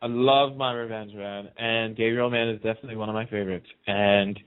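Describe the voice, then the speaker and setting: A man's voice: on the low side, fairly even intonation, brisk, clear, resonant tone. A man chatting casually and enthusiastically in an interview, telling anecdotes and acting out the dialogue he quotes. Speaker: radio host being interviewed as a guest. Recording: band-limited, lo-fi phone-in radio sound.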